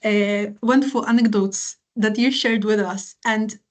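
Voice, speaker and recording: A woman hosting a webinar, thanking the speaker and going through slides in a friendly, halting way with fillers. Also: medium pitch, steady tone. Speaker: webinar host.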